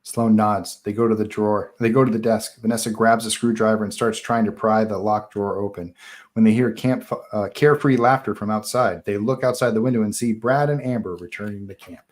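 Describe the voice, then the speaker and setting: A man's voice: fairly low pitch, steady tone, a little fast. A man narrating stage directions at a live table read of a horror screenplay, in scripted narration style.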